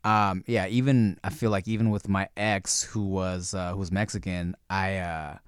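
A man's voice: deep voice, steady tone, moderate pace, clear, resonant tone, no room sound, clean studio sound. A man co-hosting a podcast, talking in a laid-back way, with lots of fillers and restarts. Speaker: podcast co-host. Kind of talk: casual podcast chat.

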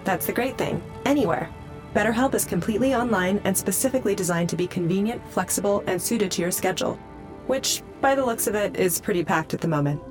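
Lady doing helpful ai assistant in a podcast ad, normal pitch, obliging and composed, bit of music. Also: expressive, fast, lightly acted. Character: helpful, polite female AI assistant. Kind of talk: scripted podcast ad dialogue.

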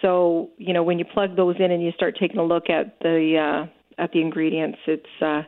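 A woman's voice: on the low side, level tone, normal speed. Talkative woman on a tinny line. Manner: enthusiastic, talkative, many fillers. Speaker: store owner, guest. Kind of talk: casual interview chat. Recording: tinny phone-like sound.

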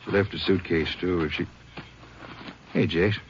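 A man's voice: sits low, fairly even intonation, a little slow. A man playing an easygoing, folksy Texas Ranger in a 1950s radio western drama. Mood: serious, puzzled. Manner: folksy, plain-spoken. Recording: muffled, noisy 1950s radio recording.

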